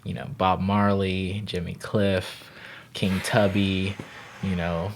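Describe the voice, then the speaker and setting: Deep, flat-voiced man from Barbados. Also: a little hiss or hum, rough recording. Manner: unhurried, thoughtful, frequent "you know". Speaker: yoga teacher and wellness guest. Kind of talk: reflective podcast interview.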